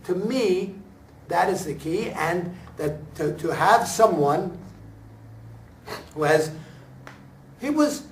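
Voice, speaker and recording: A man, an actor at a talkback, speaks reflectively in halting, deliberate phrases with emphatic pauses. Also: sits high, lively intonation, a little hiss or hum. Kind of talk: reflective post-show discussion. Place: room at a staged reading, before an audience. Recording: echoey room, picked up from far off.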